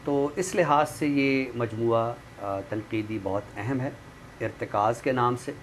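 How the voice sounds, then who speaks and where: Guy doing formal book talk, measured, medium pitch, bit of noise behind him. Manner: measured, formal, literary vocabulary. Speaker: literary commentator and guest expert. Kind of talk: literary talk and book review with some poetry recital. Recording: clearly noisy background.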